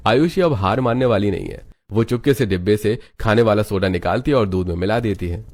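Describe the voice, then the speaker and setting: A man narrating a cartoon story in a steady, scripted way. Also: fairly low pitch, a little fast.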